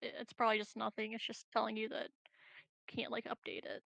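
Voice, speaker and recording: A woman's voice: fairly high pitch, completely flat delivery, fast talker, vocal fry, a bit bright, no echo. A woman talking casually as a player in an online tabletop roleplaying game.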